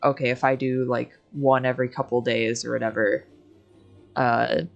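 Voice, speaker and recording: A woman's voice: very deep voice, flat delivery, normal speed, gravelly, highs cut off, soft background music. A woman co-hosting a game livestream, talking casually while she plays. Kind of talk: casual chat while gaming.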